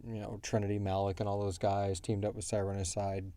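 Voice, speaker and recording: Guy podcast host, lowish mellow voice, dry sound, kinda halting as he explains stuff, casual q&a chat. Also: monotone, no room sound. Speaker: podcast co-host and show creator answering fan questions. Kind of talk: casual question-and-answer chat about a fiction series.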